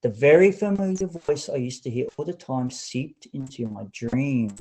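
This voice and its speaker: A man reading a story aloud as an audiobook narrator, in a deliberate, expressive storybook manner. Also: mid-range voice.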